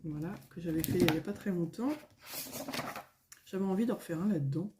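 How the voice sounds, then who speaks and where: A middle-aged woman, a little hoarse, chatting casually at home in a vlog, rambling with many fillers and restarts. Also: on the low side, brisk, background noise. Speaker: coloring vlogger. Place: at home, indoors.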